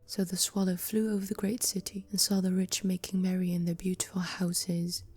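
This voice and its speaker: A woman's voice: on the low side, breathy edge, soft background music. Whispering woman reading a fairy tale. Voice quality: whispering throughout. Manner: slow, even storytelling. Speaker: storyteller. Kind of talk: whispered bedtime story reading.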